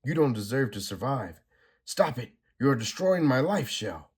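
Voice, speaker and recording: A man's voice: medium pitch, sing-song, a little slow, breathy edge, pitched above the performer's own voice, exaggerated voice. A man reading a comic aloud, voicing a fierce, selfless young superheroine in a strained, raised voice. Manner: raised, strained, shouting delivery.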